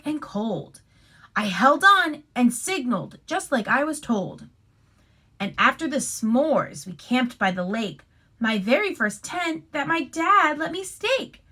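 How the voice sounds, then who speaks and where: Female teacher type for children's read-aloud: high voice, lively swooping intonation, expressive storybook delivery. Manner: expressive, deliberate phrasing, addressing young listeners. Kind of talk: animated picture-book read-aloud with friendly asides.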